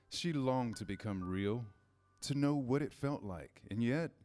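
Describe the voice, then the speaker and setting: A man's voice: mid-range voice, darkish tone. A man narrating a children's story as scripted reader's theater.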